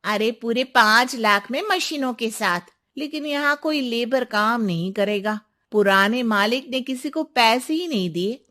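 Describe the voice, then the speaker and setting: A woman's voice: fairly high pitch, unhurried, hardly any pauses, bright, forceful tone. A woman playing a chatty neighbourhood woman in a cartoon moral story, exclamatory and familiar in manner. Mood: gossiping.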